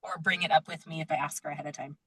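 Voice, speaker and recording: A woman's voice: low-pitched, steady tone, rapid-fire, fairly bright tone, breathy edge, no echo, rough recording. A woman teaching and leading a group call in a casual, chatty, conversational way.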